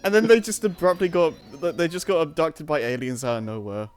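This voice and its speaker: A man chats casually as a co-host in a smooth, fairly high voice. Music plays faintly behind him. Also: big pitch swings, fairly quick. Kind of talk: casual chat. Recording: music playing underneath.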